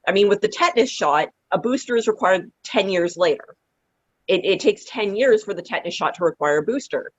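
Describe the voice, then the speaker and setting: A woman's voice: animated, brisk, no echo. Muffled woman explaining in discussion. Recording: muffled, dry low-fidelity call audio.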